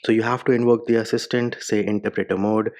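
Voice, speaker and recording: A man's voice: lowish voice, little pitch movement, fast talker, a little mellow. A man whose first language is Hindi, giving a step-by-step phone tutorial voice-over with casual slang. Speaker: tech reviewer.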